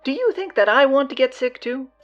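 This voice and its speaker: An actress playing a bossy, sardonic teenage high school girl with a blunt, exasperated manner in an audio drama. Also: lively intonation, brisk, dull audio, soft background music.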